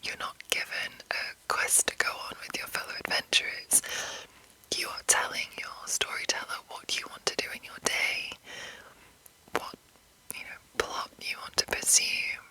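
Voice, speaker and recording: Lady whispering asmr style, breathy n bright, just rambling along explaining stuff informally, no rush. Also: normal speed, rough recording. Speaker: ASMR host.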